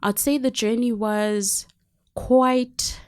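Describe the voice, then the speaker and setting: A woman's voice: fairly high pitch, fairly even intonation, slow pace, darkish tone. A woman from Zimbabwe, raised in South Africa, telling her story as an interview guest in an earnest, hesitant way with frequent ums.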